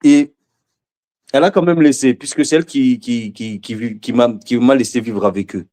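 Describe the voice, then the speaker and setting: A man's voice: medium pitch, moderate pace, warm tone. A man in a casual discussion, speaking hesitantly and often repeating words while he searches for them.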